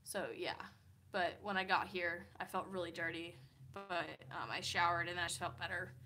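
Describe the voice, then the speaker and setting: A woman's voice: on the low side, fairly even intonation, normal speed, pushed and bright, slight background noise. A woman in her early twenties from Kansas, chatting casually on a livestream from a hotel room, rambling with lots of "like" fillers. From Kansas, USA. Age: 20s. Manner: chatty, rambling, many "like" fillers, laughs often. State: tired. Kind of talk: casual livestream chat reading comments.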